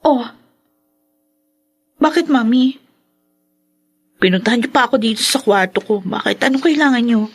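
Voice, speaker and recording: A woman's voice: on the high side, animated, moderate pace, long pauses, dry recording. A woman playing a weary, reluctant tomboyish grown daughter, guarded and subdued, in Filipino radio drama style. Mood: wary, surprised.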